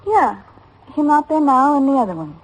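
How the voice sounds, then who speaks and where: An actress playing a defiant, sassy suspect's wife in an old-time radio detective drama, talking tough, slangy and impatient. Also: female voice, high-pitched, sing-song, normal speed, low-quality recording. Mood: irritated, wary.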